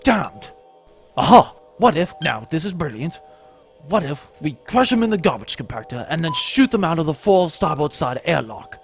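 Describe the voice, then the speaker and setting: A man's voice: on the high side, big pitch swings, normal speed, vocal fry, soft background music. A man voicing a pompous, dim-witted, scheming duke with a grandiose, theatrical delivery in a comedic space-opera audio drama. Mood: gleeful scheming.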